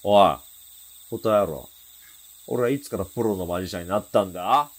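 An actor playing a boastful, short-tempered teenage delinquent in a Japanese radio drama, drawling in thuggish slang with a husky voice. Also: male voice, lowish voice, moderate pace. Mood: threatening.

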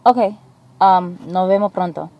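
An actress playing a reserved, secretive young exchange student from Cuba, speaking hesitantly in a naturalistic web drama. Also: female voice, fairly low pitch, normal speed, muffled recording, close to an everyday voice. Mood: casual. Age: young adult. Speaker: actor in a web series. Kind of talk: naturalistic short-film acting. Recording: location sound with clear ambient noise.

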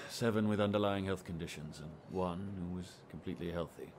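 A man's voice: deep voice, a little fast, a little mellow, a little hiss or hum, natural voice. A man playing a weary, dry-witted hospital doctor in a naturalistic audio drama. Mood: wry, sombre.

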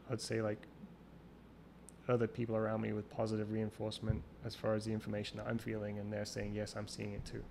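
A man with a gravelly, fairly low voice talks in a flat, level way, circling back and restarting his sentences as he thinks aloud. He is a guest in a casual interview, and a faint hiss of noise sits under the call recording. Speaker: guest, champion water skier.

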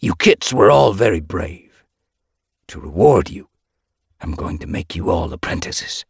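Man as a husky, commanding male cat leader. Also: sits low, sing-song, no room sound, clean studio sound, full character voice. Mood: solemn announcing. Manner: measured, declaiming, brisk.

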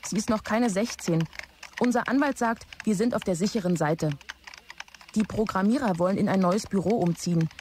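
An actress playing a dutiful office assistant in a German audio drama, giving plain, report-like messages. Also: female voice, mid-range voice, normal speed, a little mellow, slight background noise, close to an everyday voice. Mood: matter-of-fact. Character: dutiful, matter-of-fact female office assistant.

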